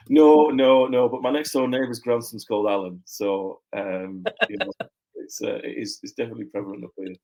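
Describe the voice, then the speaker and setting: A man's voice: mid-range voice, fairly even intonation, moderate pace, gravelly, mellow. A man with a mixed northern English accent, chatting as a guest author in a loose, rambling way full of fillers and restarts. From Yorkshire, England. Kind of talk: casual interview chat.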